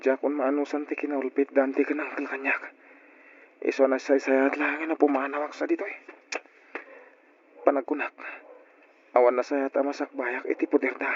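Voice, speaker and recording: An actor with an Ilocano accent playing a meek, mistreated orphan of twenty, pleading and plaintive, in old radio drama style. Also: male voice, mid-range voice, little pitch movement, normal speed, pauses often, natural voice. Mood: forlorn. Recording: dull, noisy old radio drama recording.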